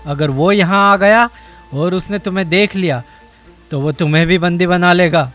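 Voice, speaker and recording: A man's voice: high-pitched, moderate pace, a bit bright, soft background music. A man voicing a worried, aging king who pleads with his daughter in a cartoon story. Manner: anxious, pleading. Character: worried aging male king, a captive father.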